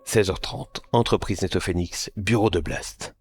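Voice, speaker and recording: A man's voice: low voice, measured pace, breathy edge. A man playing an announcer who states dates and places in an audio drama. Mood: formal. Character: male announcer stating dates and locations.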